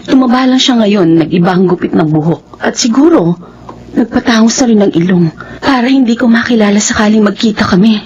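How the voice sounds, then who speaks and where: A woman's voice: medium pitch, a little fast, darkish tone, muffled recording, a little hiss or hum, natural voice. A woman playing a heartbroken young Filipina returnee in a radio drama, earnest and emotional, with a Filipino accent. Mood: bitter, suspicious. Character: heartbroken young Filipina returning from overseas work, wary of love. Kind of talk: radio drama acting with first-person narration.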